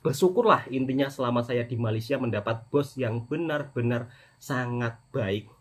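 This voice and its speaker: Husky middle-aged Indonesian man vlogging. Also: medium pitch, normal speed. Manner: repetitive emphasis, often addressing his audience as friends. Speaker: vlogger, channel host, migrant worker. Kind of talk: casual personal vlog monologue addressed to viewers.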